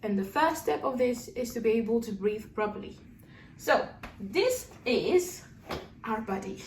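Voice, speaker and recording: A young woman with a high voice leads a singing lesson, relaxed and encouraging, often pausing to check in with her students. She sounds a little distant from the mic in an echoey room. Soft instrument music plays faintly behind her. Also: slight background noise. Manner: demonstrates lip trills and sung syllables. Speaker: singing teacher. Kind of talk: singing lesson with vocal exercises.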